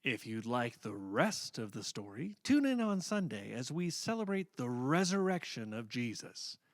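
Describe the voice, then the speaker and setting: A man's voice: mid-range voice, sing-song, normal speed, no echo, studio quality. A man reading a scripted closing announcement as the announcer of a program.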